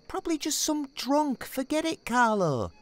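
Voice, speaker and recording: A woman voicing a scrappy, excitable, reluctant teenage boy student in a fantasy audio drama. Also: high voice, normal speed. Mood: brash, calling out.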